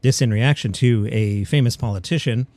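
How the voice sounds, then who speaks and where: A man's voice: medium pitch, normal speed, a little mellow, no room sound. A man hosting a comedy news podcast, reading stories and adding wry, opinionated asides. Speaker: podcast host. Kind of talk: comedy news commentary, partly scripted.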